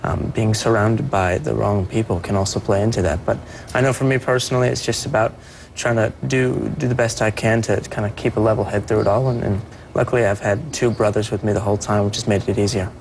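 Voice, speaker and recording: A young man speaking as a performer guest on a TV interview, soft-voiced and earnest, often restarting his sentences. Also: fairly low pitch, fairly even intonation, a little fast, creaky, slight background noise. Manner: earnest, with many restarts and repeated words. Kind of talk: TV morning show interview.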